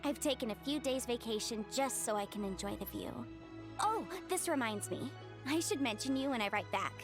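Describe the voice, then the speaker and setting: Carefree, sunny young female game character, acted by a woman; high and animated. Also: normal speed, music in the background, lightly acted. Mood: cheerful, relaxed. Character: carefree, cheerful young woman on holiday, close to family. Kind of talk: video game voice acting.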